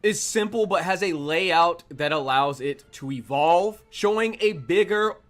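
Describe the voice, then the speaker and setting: A man's voice: high-pitched, a little slow, fairly bright tone, soft background music. A man reading scripted review narration as a video reviewer, with an earnest, recommending delivery. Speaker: manga review YouTuber.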